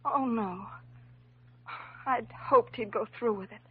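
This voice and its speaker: Husky, worried young woman. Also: a little high, slow, heavily acted. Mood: anxious, distressed. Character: worried, loyal young woman confiding a painful past. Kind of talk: classic radio drama acting. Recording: muffled old radio recording.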